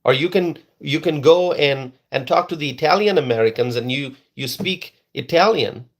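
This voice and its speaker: Emphatic man with a higher voice. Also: normal speed. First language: Bengali. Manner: emphatic, repeats words for stress. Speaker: political commentator. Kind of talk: opinion monologue.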